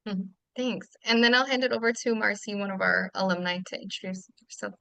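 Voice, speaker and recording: A woman's voice: medium pitch, a little fast, gravelly, sharp tone, clear, resonant tone, no echo. A woman from Canada hosting a casual travel webinar, upbeat and chatty with many fillers. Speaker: webinar host.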